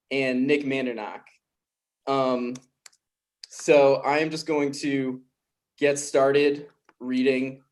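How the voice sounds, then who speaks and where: Slightly high-voiced man, informal narrator. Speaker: writer hosting and reading stage directions. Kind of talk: table read narration, informal.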